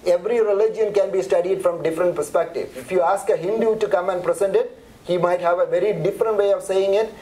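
A man's voice: high-pitched, fast talker, mellow, poor audio. A middle-aged man from India with an Indian accent, presenting a church seminar in a chatty, conversational way with frequent restarts.

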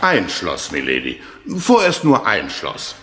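Bright, halting American businessman. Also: low voice, wildly swooping pitch, exaggerated voice. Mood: cautious. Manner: polite, halting, hedging. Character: eager, hesitant American travel businessman. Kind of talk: 1970s German radio play acting.

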